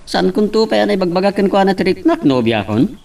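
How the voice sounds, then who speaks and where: A man's voice: high voice, big pitch swings, brisk, vocal fry, darkish tone, exaggerated voice. A man with an Ilocano accent playing a casual young friend in an audio drama, on a slightly noisy, distant recording. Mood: casual, amused. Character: young man, casual buddy shy about love. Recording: distant mic, faint noise.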